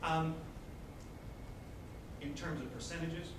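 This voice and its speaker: A man's voice: fairly high pitch, takes it slowly, heavy reverb, recorded from a distance, noisy background. A man in his forties with a North American accent, a teacher giving a conversational conference presentation and asking the audience questions. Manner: conversational, gestures broadly, asks the audience questions. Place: large conference room.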